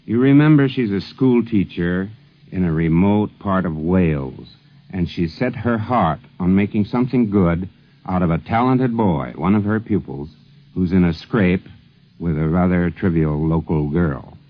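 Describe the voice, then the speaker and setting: Low-voiced man hosting vintage radio. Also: animated, unhurried. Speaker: host and narrator. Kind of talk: 1950s radio scripted tribute narration. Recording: dull vintage 1950s radio broadcast.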